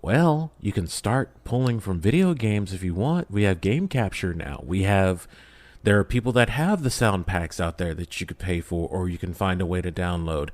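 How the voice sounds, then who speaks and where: A man's voice: deep voice, expressive, moderate pace, a little mellow. A man speaking as a podcast guest, casual and motivational, often posing questions and answering them himself. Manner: emphatic, poses and answers own questions. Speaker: podcast guest, audio drama creator. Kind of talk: casual, motivational interview talk.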